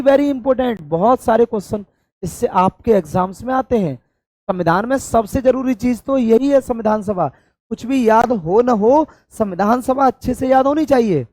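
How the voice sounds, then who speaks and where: A hoarse man from India, teaching an exam-prep lesson and stressing points by repeating them. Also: sits high, a little mellow, dry recording. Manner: addresses students affectionately, emphatic repetition. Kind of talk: classroom-style exam coaching lecture.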